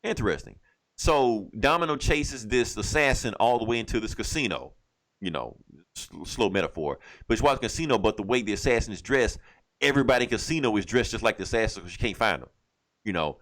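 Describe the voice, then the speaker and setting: Low-voiced man casually recapping a story. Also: expressive, fast talker, a bit bright. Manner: rambling, slangy, acts out lines in passing. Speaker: podcast co-host reviewing comic books.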